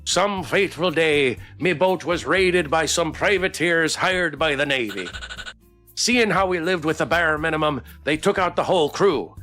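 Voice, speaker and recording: A man's voice: on the high side, moderate pace, bright, forceful tone, faint music behind. A man voicing a swaggering, teasing, big-hearted drunk pirate woman from a game, in hearty theatrical pirate dialect. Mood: grave. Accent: stage pirate.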